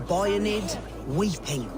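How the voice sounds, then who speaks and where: Game role: pompous morbid bard, florid, slow declamation, loud music. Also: male voice, high-pitched, lively intonation. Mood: mock-tragic. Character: pompous, morbid amateur poet declaiming a lament. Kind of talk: dramatic game cutscene dialogue.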